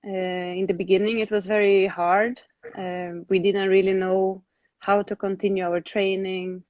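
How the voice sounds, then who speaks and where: A woman's voice: mid-range voice, dark tone, no room sound. A woman show jumper answers interview questions in an easy, conversational manner. The sound is dry and close with a slightly muffled, lo-fi quality. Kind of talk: live online interview.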